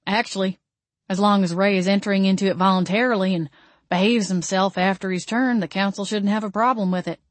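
A woman's voice: mid-range voice, steady tone, brisk, no room sound, close to an everyday voice. A woman voicing a roguish, big-mouthed male vampire schemer in an audiobook story. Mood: matter-of-fact.

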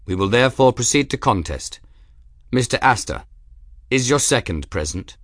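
A man's voice: medium pitch, animated, fairly bright tone, dry recording, clean studio sound, natural voice. Man voicing a grave, ceremonious feline warrior. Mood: solemn formality. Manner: measured, ceremonious. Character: grave, formal feline warrior, male master of arms. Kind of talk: audiobook character voice.

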